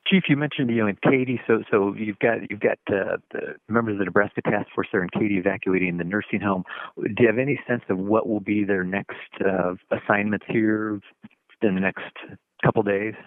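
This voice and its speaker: A man with a fairly low voice asks questions as a reporter, haltingly, doubling back on words. He comes through a dry, narrow telephone-like connection. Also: steady tone, no room sound. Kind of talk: press conference call questioning.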